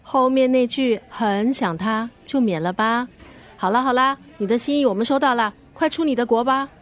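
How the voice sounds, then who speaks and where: An actress playing a scornful, sharp-tongued family friend in a radio drama, sarcastic and blunt, with a Singaporean accent. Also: female voice, on the high side, normal speed, lightly acted. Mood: bossy, mocking. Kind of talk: Mandarin radio drama acting.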